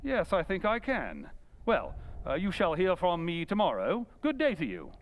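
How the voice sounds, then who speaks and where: A man playing a confident, clever gentleman detective with wry playfulness, brisk and theatrical, in an old-time radio drama. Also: high-pitched, huge pitch swings, narrow, tinny audio, a little hiss or hum. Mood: stern, commanding.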